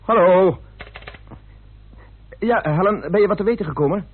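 An actor playing a brisk, businesslike investigator in a radio play, speaking in a clipped, direct way. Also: male voice, high-pitched, husky. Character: brisk, businesslike man who leads an investigation. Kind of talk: radio drama acting. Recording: tinny narrow-band old radio recording.

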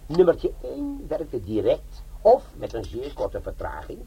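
An actor playing a brash, wry older bomb disposal sergeant in a comic crime radio play, sardonic and fond of lecturing. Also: male voice, mid-range voice, wildly swooping pitch, unhurried, gravelly, mellow, breathy edge, full character voice. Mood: jovial lecturing.

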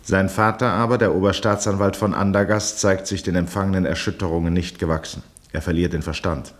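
An actor as the grave, measured narrator of a 1960s German radio drama, reading literary prose. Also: male voice, deep voice, little pitch movement, natural voice.

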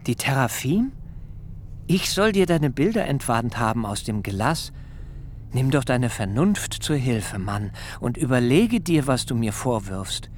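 An actor playing a shrewd, self-possessed son-in-law in a literary radio drama, speaking in a measured, articulate way. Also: male voice, medium pitch, very animated, slight background noise. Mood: astonished, indignant.

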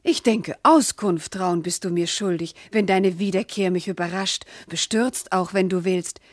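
An actress playing a proud, loving noble wife, wounded and indignant, speaking formal, impassioned verse in a classical radio drama. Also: female voice, fairly low pitch, measured pace, no room sound. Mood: passionate, reproachful.

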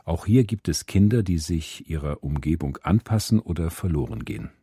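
A man's voice: rumbling low, animated, normal speed, mellow, breathy edge, no echo, studio quality. A man presenting literary readings on the radio in a scripted announcing style.

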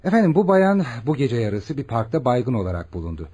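A man's voice: mid-range voice, sing-song, brisk, warm tone, husky. A man playing an attentive, polite hospital doctor in a radio drama, questioning and explaining in a formal, courteous way. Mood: businesslike. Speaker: actor. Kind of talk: Turkish radio theatre acting.

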